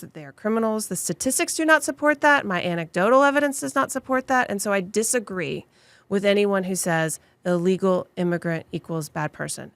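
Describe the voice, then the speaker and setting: A woman's voice: mid-range voice, creaky, a bit bright, no echo. A woman immigration attorney being interviewed, speaking with passion and emphasis and stacking points into long lists. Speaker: immigration attorney and law firm owner. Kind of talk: interview guest answering questions.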